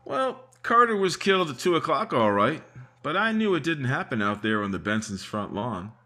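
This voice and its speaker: A man's voice: medium pitch, very animated, moderate pace, bright, forceful tone. An actor playing a confident sheriff in a radio drama, laying out how he caught the killer. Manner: explaining, self-assured. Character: confident male sheriff, triumphant.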